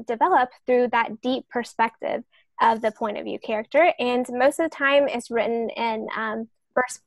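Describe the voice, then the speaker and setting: A woman co-hosting a podcast, explaining things casually and enthusiastically in a halting way. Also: on the high side, no echo. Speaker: podcast co-host and author.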